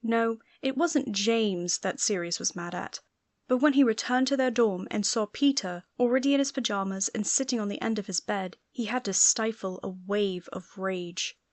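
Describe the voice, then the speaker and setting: A woman's voice: medium pitch, normal speed, dry recording. A woman reading scripted story narration as an audiobook narrator.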